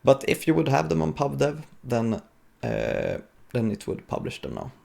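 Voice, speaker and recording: A man's voice: medium pitch, huge pitch swings, normal speed, vocal fry, a little mellow. A man giving an informal technical demo at a conference, thinking aloud with some hesitation as he goes. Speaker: software developer giving a conference talk. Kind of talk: live coding demo, technical presentation.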